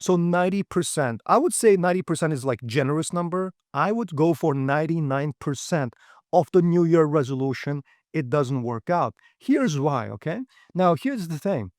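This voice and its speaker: Man, English, motivational presenter, blunt, slightly high voice, dry close sound. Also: lively intonation, normal speed, a little mellow, no echo. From Uyghur region. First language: Uyghur. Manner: direct, frequent "okay?" and "right?" checks, non-native grammar. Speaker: seminar presenter. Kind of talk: motivational talk.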